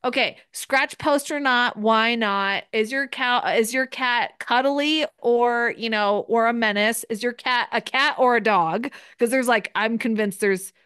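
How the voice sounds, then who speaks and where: Woman coaching a group live, direct and encouraging, bit high-pitched. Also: a little slow, few pauses, fairly bright tone, clear, resonant tone, no echo, studio quality. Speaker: business coach.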